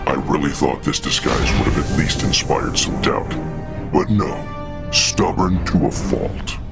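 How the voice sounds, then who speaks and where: An actor playing a proud, stubborn godlike cosmic being in a video game, grave, formal and imperious, with a gravelly voice. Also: male voice, extremely low pitch, a little slow. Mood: resigned conviction. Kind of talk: video game cutscene acting. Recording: voice over dramatic music.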